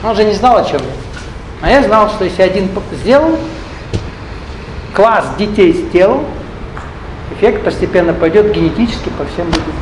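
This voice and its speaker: A man's voice: high-pitched, expressive, normal speed, long pauses. A man giving a spiritual lecture to a live audience, rambling, with joking asides and questions put to the listeners. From Russian-speaking, not Ukrainian. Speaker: spiritual teacher. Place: hall with an audience. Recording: echoey room with faint noise.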